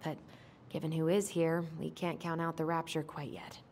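A woman's voice: low-pitched, little pitch movement, moderate pace, darkish tone, a little hiss or hum. An actress playing a wary, practical young woman in a video game, with dry, clipped delivery. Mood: dry, weary. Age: young adult.

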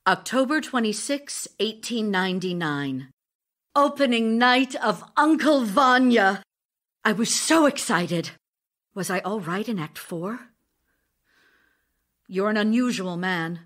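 A woman's voice: mid-range voice, expressive, slow, long pauses, a bit bright. A woman playing an ardent, sentimental stage actress in an audio drama, earnest and wistful as she recalls her romance. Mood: earnest, yearning. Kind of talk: theatrical audio drama, narrated memories and love letters.

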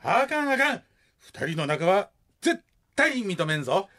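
A man playing a stubborn, soft-hearted middle-aged father with a Kansai accent in a radio drama. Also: high-pitched, very animated, measured pace, heavily acted. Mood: indignant. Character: stubborn, blustery, soft-hearted widowed father of a young woman.

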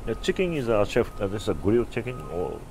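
A middle-aged man with a Japanese accent, a sushi chef hosting, speaking in short halting phrases outdoors on a garden patio. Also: on the low side, sing-song, noisy background.

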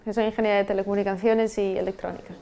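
A woman, answering interview questions in a relaxed way with frequent restarts, recorded in a studio on a lapel mic. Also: mid-range voice, rapid-fire, vocal fry. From Vigo, Galicia, Spain. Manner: relaxed, many restarts and trailing-off endings. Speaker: guest rapper and software engineer. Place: TV studio set.

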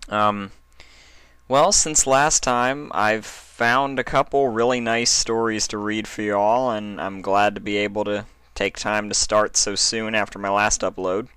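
A man's voice: on the low side, steady tone, unhurried, a bit bright, clean tone, no room sound. A man reading stories aloud as narrator, with a casual, storytelling style. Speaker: fan fiction reader and narrator.

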